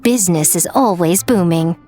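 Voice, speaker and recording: A woman's voice: lowish voice, moderate pace, warm tone, soft background music, lightly acted. A woman voicing a sly, elegant, self-assured shrine priestess in a video game, with a coy, teasing, theatrical manner.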